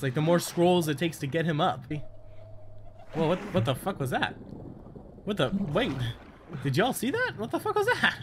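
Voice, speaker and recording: A man giving casual, profane and excitable game commentary, talking straight to the character he is playing. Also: fairly high pitch. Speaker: gaming video host. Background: game audio of boat and water.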